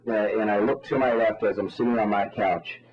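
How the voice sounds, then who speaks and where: A man's voice: lowish voice, fairly quick. A man speaks as a radio ministry spokesman in a muffled, lo-fi phone interview. He preaches with fervour and insistence, circling back over his phrases. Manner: insistent, repetitive, religiously fervent.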